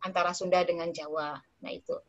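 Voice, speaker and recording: A woman speaking as a researcher in a relaxed, chatty online discussion, with many fillers and tag words. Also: on the low side, fast talker. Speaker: invited speaker, dissertation researcher. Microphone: online call audio. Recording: slightly dull online call audio.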